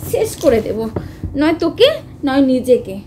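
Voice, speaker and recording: Young wife in a domestic row: high-pitched, agitated, scolding delivery, recorded in a reverberant room. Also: female voice, very animated, moderate pace, mellow. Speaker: wife in a family prank video. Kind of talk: heated domestic argument. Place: indoor room at home. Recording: echoey room, faint background noise.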